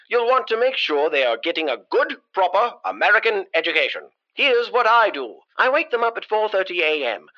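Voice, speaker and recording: An actor playing a pompous, smugly callous newspaper columnist of 1918 in a mannered vintage radio style. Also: male voice, sits high, bright, forceful tone, heavily acted. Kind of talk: vintage radio editorial acting. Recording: dull old-time radio sound.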